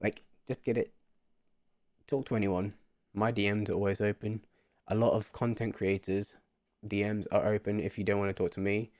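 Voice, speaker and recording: A young man in his twenties speaks earnestly to the camera, wandering and repeating himself as he thinks aloud. His voice sits a little low, and the sound is dry and close with no room echo. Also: fairly even intonation, normal speed, darkish tone. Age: 20s. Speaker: vlogger. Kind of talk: casual reflective monologue to camera.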